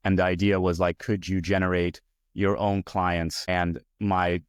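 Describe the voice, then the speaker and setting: A man from Hungary in his forties, a guest talking through his career in a relaxed, rambling way with lots of fillers. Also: low-pitched, a little slow, clean tone, no room sound, studio quality. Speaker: guest, VP of user experience. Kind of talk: casual interview talk.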